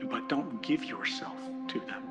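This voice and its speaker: A man's voice: mid-range voice, measured pace. A man giving an earnest, reflective political keynote, building points with repetition and rhetorical questions. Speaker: conference speaker. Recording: music bed behind speech. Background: music.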